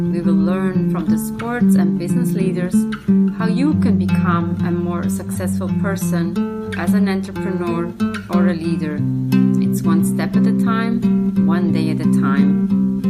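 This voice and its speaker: A woman born in Switzerland hosting a motivational podcast, speaking in an upbeat, encouraging way. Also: mid-range voice. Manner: upbeat, encouraging, slow deliberate intro. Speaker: podcast host.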